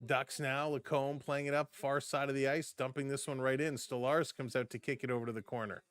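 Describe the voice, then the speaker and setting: A man doing live play-by-play for a fan stream, switching between brisk calls of the action and chatty replies to his viewers, his voice starting to go hoarse. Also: mid-range voice, little pitch movement, fairly bright tone, no echo. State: voice getting hoarse. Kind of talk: live play-by-play and chat reactions.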